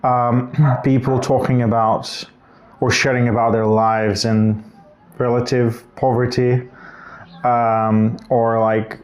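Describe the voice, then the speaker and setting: A man's voice: mid-range voice, level tone, slow. A man from Bulgaria, probably in his thirties, talking casually into the camera in a reflective vlog. He wanders through his thoughts and often corrects himself mid-sentence. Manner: reflective, rambling with restarts and self-corrections. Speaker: vlogger.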